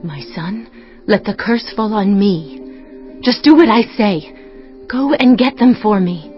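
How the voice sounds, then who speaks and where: A woman's voice: medium pitch, unhurried, close to an everyday voice. A woman playing a scheming, insistent mother in a dramatized scripture, urgent and conspiratorial. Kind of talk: dramatized audio scripture acting. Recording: dull muffled audio with music.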